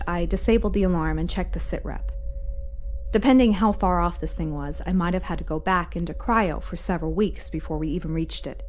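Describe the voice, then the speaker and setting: Low-voiced woman freighter captain played by an actress, music behind. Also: a little mellow, natural voice. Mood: tense, then wondering, recounting. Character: matter-of-fact woman captain of a space freighter, giving report. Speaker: narrator of a fiction podcast. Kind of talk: scripted first-person audio drama narration.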